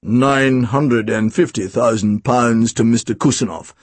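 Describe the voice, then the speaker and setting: A man voicing a posh male auctioneer with a plummy upper-class British accent, announcing bids in a slow, formal, drawn-out way. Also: mid-range voice, no room sound, voice pushed down, natural voice. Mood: formal, mounting.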